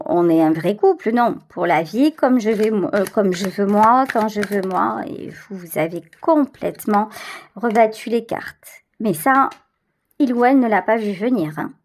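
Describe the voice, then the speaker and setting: A woman's voice: fairly high pitch, darkish tone. A woman giving a tarot reading straight to the listener, conversational and emphatic, repeating words for stress. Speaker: tarot reader, channel host.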